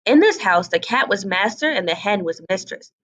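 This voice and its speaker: Animated woman narrating a fairy tale. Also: mid-range voice, moderate pace. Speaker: storybook narrator.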